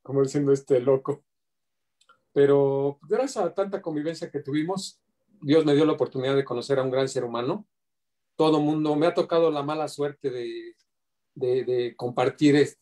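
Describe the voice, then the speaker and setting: A middle-aged man talks earnestly over a video call, his voice mellow and warm. He reflects in a steady, heartfelt way and now and then acts out quoted remarks. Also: medium pitch, moderate pace. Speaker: community organizer paying tribute.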